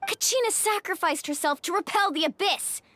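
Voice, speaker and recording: A woman playing an upbeat, loyal young warrior woman in a video game, earnest and expressive. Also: very high-pitched, brisk, dry recording. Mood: indignant. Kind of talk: English video game voice acting.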